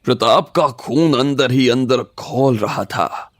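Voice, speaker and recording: A man's voice: mid-range voice, slow, no echo. A man narrating a scripted audio story in a dramatic storytelling style. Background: faint music.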